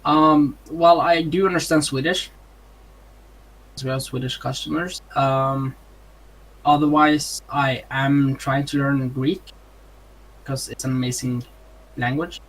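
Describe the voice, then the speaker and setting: A man's voice: mid-range voice, flat delivery, slow, pauses often, a bit bright, poor audio. A young man with a Norwegian accent, answering interview questions in casual, hesitant English with many ums and uhs. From Norway. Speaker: streamer, interview guest.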